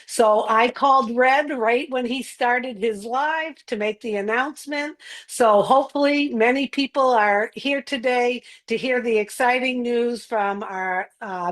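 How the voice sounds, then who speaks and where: A woman's voice: fairly high pitch, a little slow. A woman casually opening a live stream and handing over to the speaker. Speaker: host introducing the speaker.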